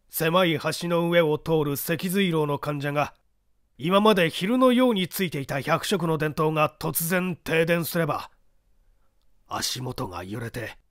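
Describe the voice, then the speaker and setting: A man playing a defeated accused detective in an audiobook, explaining himself in a measured, formal, subdued way. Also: a little high, dry recording, studio quality, voice pushed up. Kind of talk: dramatic audiobook character reading.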